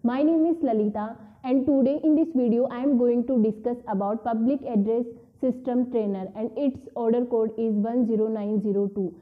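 A woman's voice: on the high side, steady tone, normal speed, hardly any pauses, phone-quality sound. A woman in her twenties with an Indian accent, presenting an instructional demonstration plainly and step by step. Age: 20s. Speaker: product demonstrator. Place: office showroom with shelves, indoors. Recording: roomy, echoing indoor recording.

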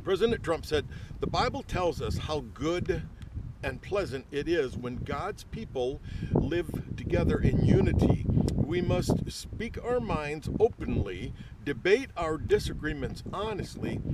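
Earnest older man vlogging outdoors. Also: on the high side. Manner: earnest, reads quotes aloud with emphasis. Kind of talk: casual outdoor video talk. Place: open field outdoors by a tower. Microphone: camera at arm's length. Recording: outdoor ambient noise.

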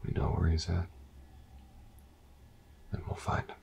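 An actor playing a brooding, vengeful detective in an intimate audio roleplay, muttering haltingly, often near a whisper. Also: male voice, darkish tone, slight background noise, close to an everyday voice. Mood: weary, subdued. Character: brooding, vengeful, grief-stricken male detective.

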